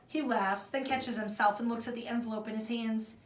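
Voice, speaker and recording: A woman teacher reading a children's story aloud in an expressive storytelling way. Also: on the high side, brisk. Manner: expressive, acts out the dialogue. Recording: roomy echo, distant mic, faint noise.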